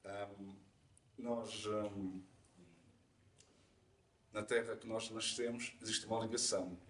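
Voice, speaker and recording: A man's voice: on the low side, steady tone, slow pace, a bit bright. A man with a European Portuguese accent giving an informal explanatory talk, conversational and didactic, often checking in with his listeners. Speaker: speaker presenting at a community conference. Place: indoor event room, live talk. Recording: echoey room, distant mic, faint noise.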